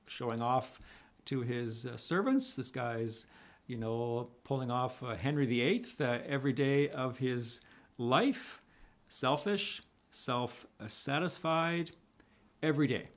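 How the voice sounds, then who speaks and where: A middle-aged man with a raspy voice, a priest giving a conversational video homily to the camera in a small room, reading scripture slowly. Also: medium pitch. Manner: conversational, animated hand gestures, reads scripture slowly.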